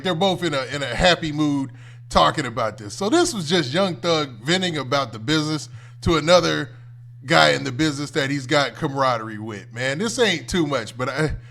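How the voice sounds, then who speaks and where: Higher-pitched American man giving casual commentary. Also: fairly bright tone. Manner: conversational, colloquial, often ends phrases with "man". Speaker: host of a commentary livestream. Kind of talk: casual off-the-cuff reaction commentary.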